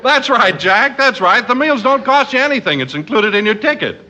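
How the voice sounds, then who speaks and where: A man's voice: very high-pitched, animated, moderate pace, a crowd in the background. A man playing an eager, admiring announcer sidekick in a 1940s radio comedy, hearty and earnest.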